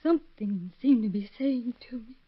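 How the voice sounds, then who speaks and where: A distraught young mother with a high voice, confessing haltingly in a melodramatic old radio drama. The recording is dull and muffled. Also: husky. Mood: bitter, haunted. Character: anguished, abused young mother confessing to a crime. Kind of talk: 1930s radio acting.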